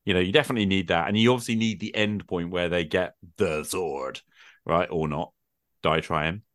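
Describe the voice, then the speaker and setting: Middle-aged guy, deep-ish low voice, dry sound, chatting and explaining, keeps asking if you follow. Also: normal speed, fairly bright tone, no room sound. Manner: explanatory, checks in with tag questions. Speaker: podcast host and game master. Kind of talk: extended casual discussion of tabletop gaming.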